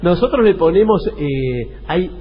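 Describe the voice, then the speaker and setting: A man's voice: a little high, lively intonation, a little slow. A man with an Argentine Rioplatense accent co-hosting a radio comedy, explaining and correcting earnestly and a bit hesitantly in improvised dialogue. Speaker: radio comedy show co-host. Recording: dull, noisy radio recording.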